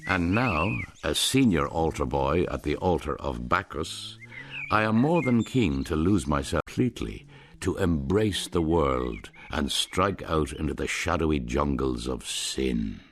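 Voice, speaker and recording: A man's voice: a bass voice, expressive, a little slow, a bit bright, slight background noise. A middle-aged Irish man narrating his own story in a wry, rueful, lyrical way for a radio drama. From Ireland. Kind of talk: scripted first-person radio narration.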